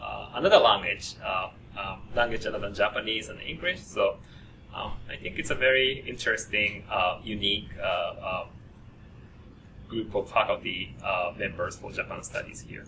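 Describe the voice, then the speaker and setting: A man introducing faculty at a student orientation, speaking haltingly with many pauses and fillers. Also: lowish voice. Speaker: program coordinator at an orientation. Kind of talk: presenting to students. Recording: distant mic with faint noise.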